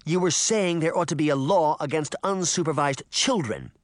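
A man's voice: on the high side, moderate pace, dry recording, studio quality, pitched above the performer's own voice, close to an everyday voice. A man doing an indignant young girl. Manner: indignant, sharp. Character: angry young girl, almost twelve.

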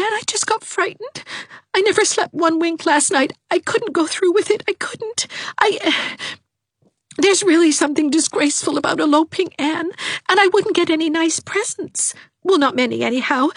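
Woman reader doing timid tearful young woman, whimpering, stammering, very high thin voice, dry close sound. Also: no echo.

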